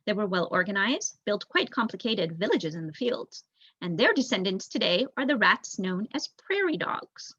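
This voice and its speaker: A young woman voicing a thoughtful, bookish male rat elder telling a storybook history in a measured, reflective way. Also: mid-range voice, big pitch swings, pushed and bright, slightly rough, no room sound, full character voice. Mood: pondering. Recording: dull lo-fi recording.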